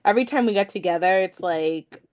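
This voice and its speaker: Lively young woman co-host telling stories. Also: lowish voice, brisk. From Mexico. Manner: lively storyteller, laughs often. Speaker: podcast co-host, counselor. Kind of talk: casual podcast chat.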